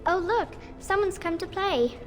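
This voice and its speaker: A woman's voice: very high voice, expressive, unhurried, soft background music. An actress voicing a playful, stubborn little girl with a breezy, childlike manner, in a fantasy video game with echoing reverb. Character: small girl, playful, stubborn, eerily carefree. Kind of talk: dramatic video game acting. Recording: long echoing hall reverb.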